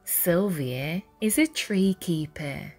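A woman reading a children's picture book aloud with deliberate, dramatic phrasing for young listeners. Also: lowish voice, animated, darkish tone. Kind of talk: expressive read-aloud storytelling. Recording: music behind the voice. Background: music.

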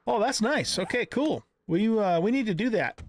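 A man's voice: high voice, expressive, moderate pace, slight background noise. A middle-aged man giving casual gameplay commentary, thinking aloud in a conversational way. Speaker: gaming video host.

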